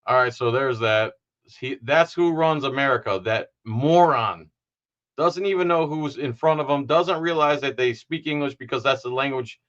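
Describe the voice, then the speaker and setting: A man's voice: mid-range voice, fairly bright tone, muffled recording. A man hosting a podcast, giving rambling, sarcastic political commentary. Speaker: podcast host.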